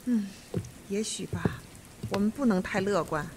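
A woman playing a composed, authoritative judge in a Mandarin radio mystery, speaking in a measured, firm manner. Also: mid-range voice, background noise, close to an everyday voice. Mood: guarded, firm.

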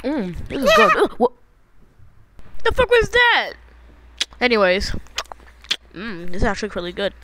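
A woman doing an amateur, playful, exclamatory fan dub of animated shorts, with improvised-sounding dialogue. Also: a little high, measured pace, pauses often, background noise. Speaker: amateur voice actor.

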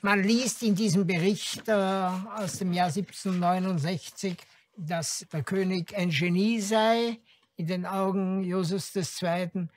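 An expert giving measured explanatory commentary in an interview. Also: mid-range voice, fairly even intonation.

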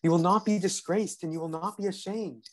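Earnest high-voiced suitor played by a man. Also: steady tone, a little fast, darkish tone. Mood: pleading, insistent. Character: earnest, pleading young suitor arguing for marriage. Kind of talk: stage play reading with quoted Hebrew.

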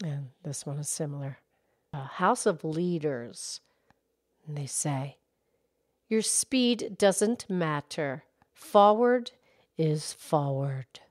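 A woman voicing a calm, knowledgeable grown-up cow puppet, speaking in a soft, measured, teacher-like way in a children's puppet show. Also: deep voice, no room sound, studio quality. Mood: gentle, measured.

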